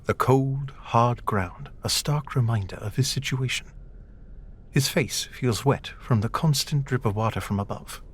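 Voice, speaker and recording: A man playing the serious narrator of a scripted adventure audio drama, with a husky voice. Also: medium pitch, a little slow, close to an everyday voice. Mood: measured.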